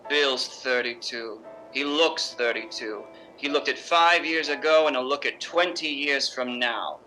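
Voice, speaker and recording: A man playing an imperious, bitter, witty middle-aged stage actress in a theatrical 1950s radio drama style, with sardonic, drawn-out emphasis. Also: medium pitch, pushed and bright, faint music behind, low-quality recording.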